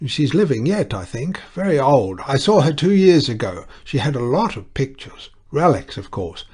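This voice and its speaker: A man voicing an excitable, muddled elderly clergyman who rambles and declaims loudly. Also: mid-range voice, moderate pace, slightly rough, pitched above the performer's own voice. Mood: rambling. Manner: loud, declaiming, ranting.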